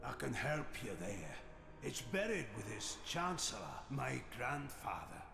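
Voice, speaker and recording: An actor playing an earnest, plain-spoken village blacksmith with a troubled heart in a fantasy video game. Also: male voice, mid-range voice, sing-song, measured pace, creaky, background noise. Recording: music behind the voice.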